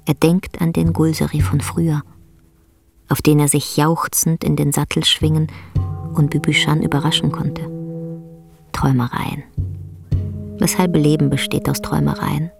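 A woman narrating a literary radio play in a measured storytelling delivery. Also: deep voice, flat delivery, gravelly, darkish tone. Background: faint music.

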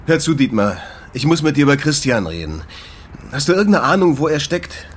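A man's voice: fairly high pitch, big pitch swings, a little fast, noisy background. A man playing a harried, irritable jazz club owner in a German radio drama, impatient, curt and nervy. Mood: impatient probing.

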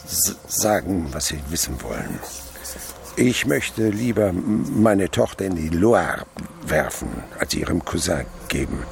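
An actor playing a miserly old French father in a German radio play, grumbling, domineering and gravelly. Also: on the low side, background noise, full character voice. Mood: guarded. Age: older. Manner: grudging, stammers at times.

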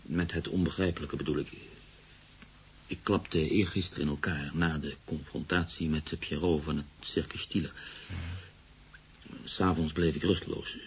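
An actor in a radio drama playing an anxious, brooding newspaper columnist who speaks earnestly and confidingly. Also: male voice, rumbling low, steady tone, normal speed, slight background noise. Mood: subdued, reflective. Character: troubled, anxious newspaper columnist haunted by a mystery.

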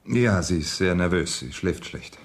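A man acting in a radio drama as a cornered, uneasy husband under blackmail, defensive and at times halting. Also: low-pitched, lively intonation, heavily acted. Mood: guarded, explaining. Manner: tense, defensive, sometimes halting.

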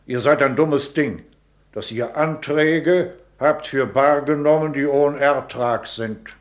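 A man's voice: a little high, slow. An actor playing a pompous, scolding old courtier and father in a German radio play, speaking sententiously and theatrically. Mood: prying, scolding.

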